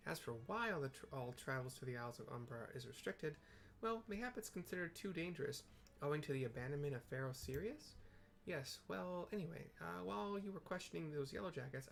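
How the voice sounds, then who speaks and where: A man's voice: on the high side, vocal fry. A man voicing a flustered, self-important female scholar in a video game, stammering and chatty.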